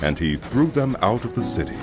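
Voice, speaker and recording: A man narrating scripture for a dramatized audio production in a solemn, measured storytelling manner. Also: medium pitch, very animated. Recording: loud music behind the voice. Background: loud music.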